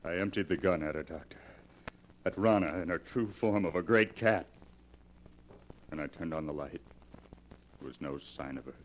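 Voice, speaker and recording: A man acting a haunted young bridegroom with a low, deep voice. He confesses his fears with intense drama, in the style of a 1940s radio thriller. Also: normal speed, long pauses, noisy background, close to an everyday voice. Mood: haunted, desperate. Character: haunted, fearful young bridegroom recounting his torment to a doctor.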